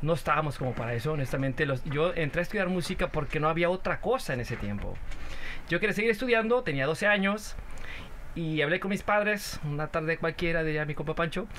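A Mexican man in a podcast studio, talking as a band member guest, animated and teasing, often laughing. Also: on the high side, quick pace, a little hiss or hum. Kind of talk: casual studio podcast chat. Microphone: studio mic on a desk arm.

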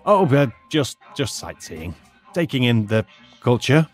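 An actor playing a nervous teacher who fakes a posh British accent, stammering and overly polite. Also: male voice, mid-range voice, sing-song, measured pace, soft background music. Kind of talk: audio drama acting.

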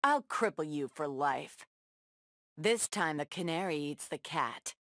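A woman's voice: low-pitched, expressive, a little slow, a bit bright, no echo, studio quality, exaggerated voice. A woman playing a tough, sassy superheroine in a video game, trading confident, defiant taunts and wry one-liners. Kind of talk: video game battle banter.

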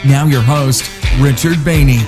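A man's voice: medium pitch, a little slow. Male announcer over guitar music. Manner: polished promo delivery. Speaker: show announcer. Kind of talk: scripted show intro.

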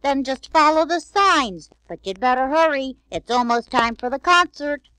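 A woman voicing a friendly, helpful young girl on a children's storybook record, eager and hurried. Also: sits high, expressive, pushed and bright, no echo, rough recording.

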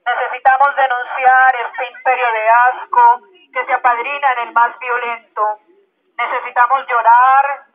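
Tinny megaphone, woman declaiming poetry. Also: a little high. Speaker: poet reading at a public poetry reading. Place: outdoor public park. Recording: tinny phone-like megaphone sound.

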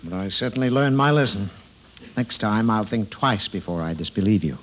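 A man's voice: lowish voice, moderate pace, close to an everyday voice. A man playing the exasperated, gullible father of a little girl in a 1950s radio comedy. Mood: contrite. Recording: dull, noisy old radio recording.

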